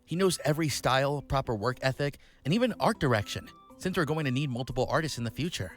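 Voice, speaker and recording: Actor as expressive, earnest young startup founder. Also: male voice, mid-range voice, quick pace, soft background music, close to an everyday voice. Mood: earnest, proud. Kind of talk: visual novel voice acting.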